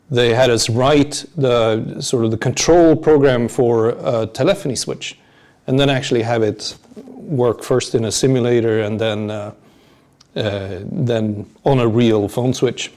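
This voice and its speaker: A man from Sweden, a programmer talking as a guest in a casual interview at a conference, halting with many pauses and small chuckles. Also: medium pitch, a little mellow. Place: conference venue.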